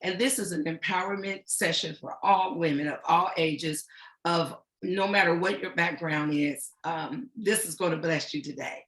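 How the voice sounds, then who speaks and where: A woman in her sixties hosts an online panel with a booming, encouraging delivery. Her tone is bright and forward. She sounds like she is in an echoey room over a video call. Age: 60s. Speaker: host. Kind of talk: hosting an online panel chat.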